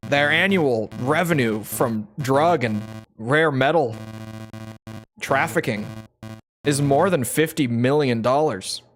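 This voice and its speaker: A man voicing a bland male CIA agent in a put-on Canadian accent, reading a mission briefing flatly. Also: medium pitch, slow pace, faint music behind.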